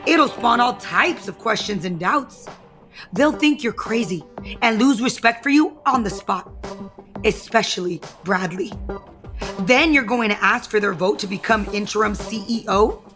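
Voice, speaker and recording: A woman's voice: mid-range voice, measured pace, a bit bright, lightly acted. Brazen scheming young woman, music behind, acted. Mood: threatening. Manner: taunting, confrontational, self-assured. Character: scheming, brazen ambitious young woman, office power player. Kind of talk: audio soap opera acting.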